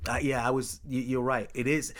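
A man chatting casually on a podcast, animated and slang-heavy. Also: mid-range voice, normal speed. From Los Angeles area. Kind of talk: casual chat.

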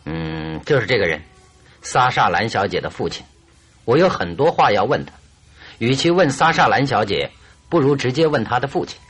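A man's voice: medium pitch, big pitch swings, normal speed, vocal fry, a bit bright, breathy edge, heavily acted. A man acting in a radio drama as a confident, teasing detective who speaks with composure and likes to hold things back. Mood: amused, decisive. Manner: composed, teasing, withholding, with knowing chuckles.

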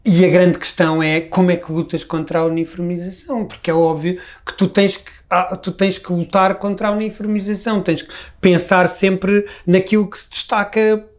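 A man's voice: high-pitched, little pitch movement, normal speed. Man, middle-aged, Lisbon Portuguese accent, reflective, rambling, casual guest talk. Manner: reflective, rambling with many restarts. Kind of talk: casual conversation.

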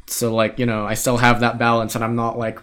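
A man's voice: mid-range voice, monotone, brisk, fairly bright tone. A young man in his late teens from Australia, speaking with an American accent, chatting loosely and swearing freely as a podcast co-host. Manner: casual, loose and profane, rambling with restarts. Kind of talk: casual chat.

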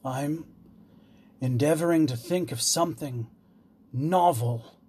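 A man's voice: fairly high pitch, takes it slowly, warm tone, husky, exaggerated voice. A man voicing a humble, bewildered dwarf court jester in a storybook, hesitant and subdued.

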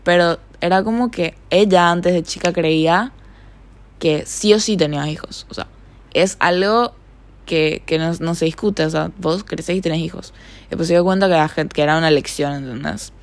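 Chatty young Paraguayan woman, gravelly voice. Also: lowish voice, moderate pace. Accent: Paraguayan Spanish with some Argentine tone. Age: young adult. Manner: rambling, chatty, filler-heavy. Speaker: podcast host. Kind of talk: casual solo podcast monologue. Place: her bedroom.

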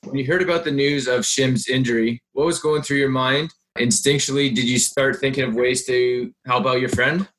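A young man co-hosting a podcast, asking earnest, measured questions. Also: mid-range voice, fairly even intonation, fairly bright tone, low-quality recording. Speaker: podcast co-host. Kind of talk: podcast interviewing.

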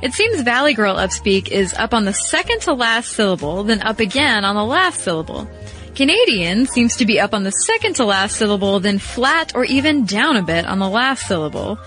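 A woman co-hosts a podcast, reading letters and teasing her partner. Her pitch swings widely, and faint music sits behind her. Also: normal speed, rough recording. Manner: lively and playful. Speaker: podcast co-host. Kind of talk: reading listener mail on a podcast.

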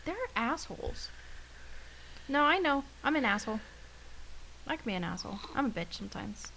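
A woman's voice: on the high side, animated, normal speed, vocal fry, bright, forceful tone, rough recording. A woman co-hosting a podcast in casual, offhand, self-mocking banter. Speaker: podcast co-host. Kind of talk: casual chat. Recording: faint hiss.

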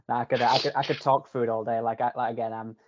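A man's voice: mid-range voice, no echo, highs cut off. A man with a Yorkshire accent tinged with Canadian, chatting casually as a podcast guest with lots of restarts and the odd swear word. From England.

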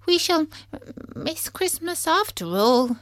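Woman as little storybook animal lad, timid, worried, high voice, swooping intonation. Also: sing-song, slow pace, a bit bright, no room sound, studio quality, heavily acted. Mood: sad. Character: small timid young male animal, sniffly and anxious.

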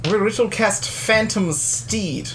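A man's voice: high-pitched, unhurried, fairly bright tone, slight background noise. Goofy argumentative male player. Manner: goofy, argumentative, slipping into accents. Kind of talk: casual tabletop play.

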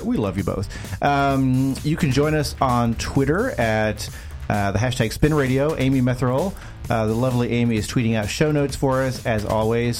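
A man co-hosting a radio-style show from an office studio, chatting loosely and joking with lots of fillers. His voice is scratchy from a leftover cough. Faint music plays softly behind him. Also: medium pitch. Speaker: podcast co-host. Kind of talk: casual radio-show chat. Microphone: studio mic.

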